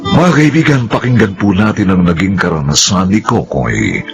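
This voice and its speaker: A man's voice: sits low, expressive, normal speed, vocal fry, over music. A man narrating the opening of a radio drama in a dramatic announcer's style.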